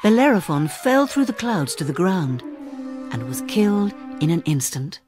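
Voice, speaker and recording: A woman narrating a children's read-along storybook in an expressive, dramatic and deliberate way. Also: on the low side, music in the background.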